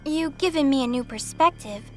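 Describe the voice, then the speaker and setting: A woman's voice: high voice, moderate pace, soft background music, natural voice. A woman playing an earnest, idealistic young woman in an audio drama, speaking formally and carefully. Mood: earnest, measured.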